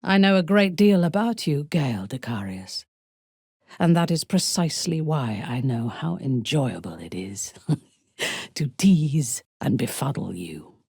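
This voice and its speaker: An actress playing a cold, ruthless, haughty drow commander in a video game, seductive and menacing, with a husky, breathy voice. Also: female voice, very deep voice, expressive, unhurried, darkish tone, no echo, clean studio sound, heavily acted. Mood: intimate, seductive. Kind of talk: dramatic video game character dialogue.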